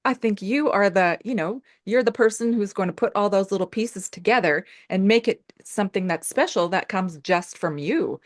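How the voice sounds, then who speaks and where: A woman's voice: medium pitch, normal speed, hardly any pauses, dry recording, clean studio sound. A woman hosting a casual interview over a video call, effusive, laughing often and using many fillers. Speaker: host.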